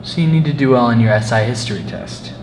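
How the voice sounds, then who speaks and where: A man's voice: medium pitch, fast talker, a little hiss or hum, close to an everyday voice. A man acting as a theatrical, pompous school elder with a British accent in an amateur comedy skit. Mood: grandly chatty. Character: elder, undead former student cursed to answer history questions.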